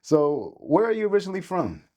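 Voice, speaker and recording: A man's voice: high-pitched, huge pitch swings, a little fast, darkish tone. A man hosting an interview, introducing his guest and asking questions. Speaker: interview host.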